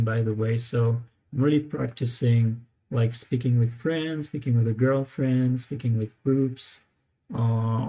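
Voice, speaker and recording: A man talking casually during a live screen-share, thinking aloud with many pauses and fillers as he tries out words. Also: mid-range voice, gravelly, warm tone, low-quality recording. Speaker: language learner streaming live.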